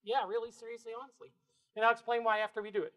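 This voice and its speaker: Male presenter, big voice, playful and laughing, warming up a live crowd. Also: very high voice, flat delivery, very fast. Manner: playful, laughs often, builds energy. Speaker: spiritual workshop presenter. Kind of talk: lively talk to a live audience. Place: bookstore event room.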